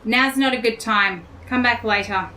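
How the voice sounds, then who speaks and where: A woman's voice: on the high side, normal speed, bright, forceful tone, poor audio. A woman playing a self-assured, blunt pilot and bomb tinkerer with a wry manner in an audio drama. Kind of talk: scripted audio drama acting.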